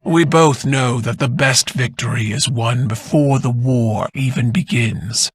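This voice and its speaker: An actor playing a calm, cunning, self-assured schemer, speaking in a measured, insinuating way in game dialogue. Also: male voice, medium pitch, slightly rough, no room sound. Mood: coolly persuasive.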